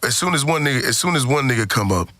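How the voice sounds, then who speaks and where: Man, bright forceful voice, dry sound, emphatic, repetitive, slangy, casual podcast talk. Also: medium pitch, animated, fast, no room sound. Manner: emphatic, repeats points, slangy with profanity. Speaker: podcast co-host. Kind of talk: casual group podcast discussion.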